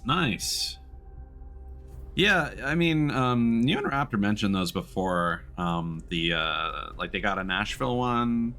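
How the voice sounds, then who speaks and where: Very low-voiced man chatting on stream. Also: animated, takes it slowly, sharp tone, soft background music. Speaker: live streamer playing a video game. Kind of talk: casual live-stream chat with gameplay commentary.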